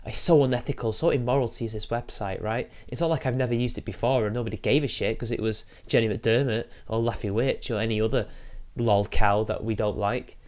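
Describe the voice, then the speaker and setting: A man's voice: medium pitch, fairly even intonation, brisk, few pauses. A man, sniffly from allergies, rambling through casual live-stream commentary and talking to his chat. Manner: rambling, talks to chat while fiddling with software.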